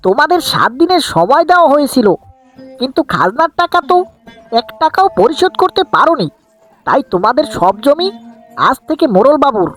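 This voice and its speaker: Woman as a stern, high-voiced rent collector. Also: very animated, fairly quick, faint music behind, low-quality recording. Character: stern, heartless rent collector for village landlord. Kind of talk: cartoon fairy tale acting.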